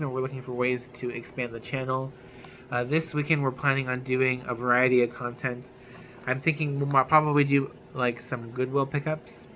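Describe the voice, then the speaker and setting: A man's voice: medium pitch, bright, forceful tone, a little hiss or hum. A man hosting his own channel, chatting casually to viewers in a conversational, slangy way. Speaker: YouTube channel host. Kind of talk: casual vlog chat to viewers.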